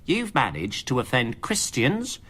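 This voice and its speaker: Bossy, low-voiced sarcastic executive. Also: female voice, wildly swooping pitch, measured pace, a bit bright, husky, exaggerated voice. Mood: scornful, dismissive. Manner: scornful, emphatic. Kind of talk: radio comedy drama.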